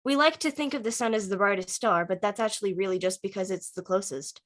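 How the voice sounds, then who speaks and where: A woman's voice: medium pitch, quick pace, fairly bright tone, natural voice. A woman acts out a teenage dreamer in a film drama read-through. The delivery is dry and wry, drifting between teasing banter and earnest musing. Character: Dante, a dry, wry, star-loving teenager. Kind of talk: table read of a film script.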